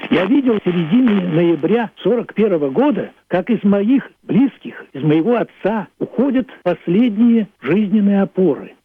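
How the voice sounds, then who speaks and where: An elderly man from Russia speaking reflectively and deliberately, in emphatic phrases with repetitions, like a philosophical monologue. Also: high voice, lively intonation, a little hiss or hum. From Russia, Leningrad. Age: older, 80s. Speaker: playwright. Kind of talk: reflective radio monologue about own play and history. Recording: thin phone-like band-limited sound.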